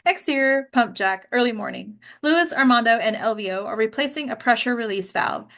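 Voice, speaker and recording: Woman, table-read host, fairly high voice, informal and conversational, at ease between script and discussion. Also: animated, moderate pace, a bit bright. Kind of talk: script reading and group discussion.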